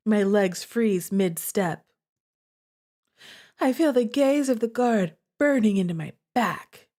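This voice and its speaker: A woman's voice: mid-range voice, animated, slow, a little mellow. A woman streaming a visual novel, chatting to viewers and reading the game text aloud with dramatic feeling.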